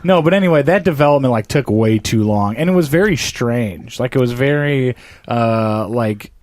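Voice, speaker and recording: A man's voice: medium pitch, moderate pace, a little mellow, no room sound. Joking podcast host, man. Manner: joking, leads the talk with questions. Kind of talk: casual podcast chat.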